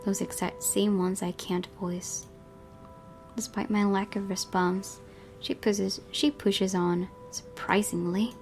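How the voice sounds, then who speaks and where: A woman's voice: mid-range voice, steady tone, slow, a little mellow, soft background music. A woman hosting a gaming video, reading visual novel narration expressively and adding casual asides.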